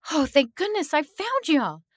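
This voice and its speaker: A woman's voice: sits high, big pitch swings, unhurried, no room sound, studio quality, pitched above the performer's own voice. A woman voicing Opal's part as a young, defiant and loyal friend in a dramatic, emphatic story reading. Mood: relieved.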